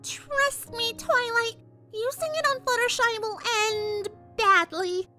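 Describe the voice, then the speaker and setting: A woman voicing an excitable, insistent young cartoon pony mare in a fan fiction reading, with emphatic delivery. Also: extremely high pitch, slow, over music, exaggerated voice.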